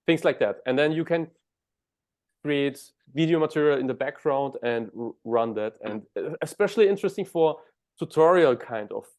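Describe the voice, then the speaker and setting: A man's voice: fairly high pitch, normal speed, a little mellow. A man from Germany with a German accent, a guest in an interview, explaining things step by step and often restarting words.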